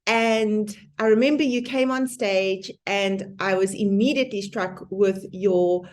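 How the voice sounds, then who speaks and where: A woman's voice: mid-range voice, unhurried, darkish tone. A woman hosting an online summit interview, introducing and chatting with her guest in a conversational, appreciative way.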